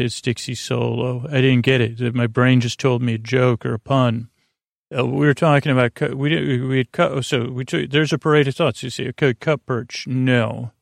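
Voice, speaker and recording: A man hosting a sleep podcast, delivering a meandering, halting, whimsical monologue in a creaky voice. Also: medium pitch, no room sound, clean studio sound. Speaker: podcast host.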